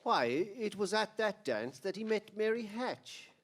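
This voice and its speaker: High-voiced, kindly heavenly storyteller. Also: male voice, very animated, measured pace, exaggerated voice. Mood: calm, storytelling. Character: heavenly male storyteller, kindly and plain-spoken. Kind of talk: storytelling in old-time radio drama.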